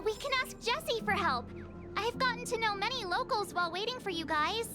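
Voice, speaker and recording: Very high, perky girl adventurer. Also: brisk, over loud music. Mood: upbeat explaining. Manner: perky, expressive, girlish. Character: bubbly, naive, chatty teenage girl adventurer. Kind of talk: video game character acting.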